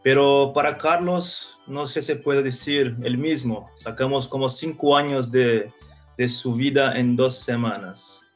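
A Brazilian man in his thirties chats as a podcast guest, his Spanish full of Portuguese sounds and stop-start restarts. The recording is slightly dull, with quiet music in the background. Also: medium pitch. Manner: halting, with frequent restarts. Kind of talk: casual interview talk.

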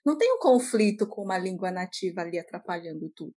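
A woman's voice: lowish voice, expressive, quick pace, warm tone. A Brazilian woman chatting casually as one of the people in a conversation. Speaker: talk participant. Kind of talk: casual chat.

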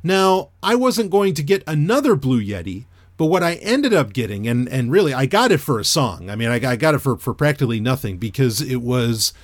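A man with a loud, radio-trained voice, chatting casually as a podcaster reviewing a microphone. He rambles with many fillers and restarts. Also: medium pitch, very animated, brisk, hardly any pauses, no echo, studio quality.

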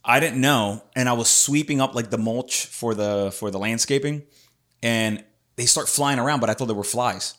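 A man's voice: mid-range voice, normal speed, a bit bright. A man hosting a casual interview, laid-back and friendly, swearing freely as he banters with his guest. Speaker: podcast host.

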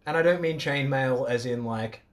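A man's voice: mid-range voice, moderate pace. Chatty Australian man hosting a podcast. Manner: jokey, chatty, self-mocking. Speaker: podcast host. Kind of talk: casual chat.

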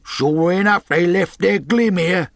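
A man voicing rough pirate henchmen who call out their reports in seafaring talk for a storybook reading. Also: mid-range voice, animated, slow, bright, forceful tone, dry recording, studio quality, full character voice. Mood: reporting. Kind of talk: audiobook character voice.

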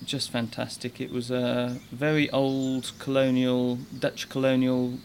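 A man's voice: mid-range voice, monotone, a little slow, a little hiss or hum. A man with a British accent, raised in Africa, chatting casually as a podcast guest and rambling with fillers. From born in Scotland, raised in Zambia. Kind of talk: casual interview chat.

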